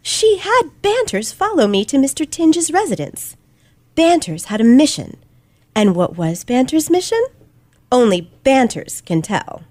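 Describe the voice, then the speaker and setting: A woman playing a confident, sharp teenage girl detective in an old-fashioned radio play, with precise, theatrical delivery. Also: sits high, big pitch swings, a little slow, no room sound. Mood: assured, dramatic. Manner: theatrical, precise, dramatic emphasis.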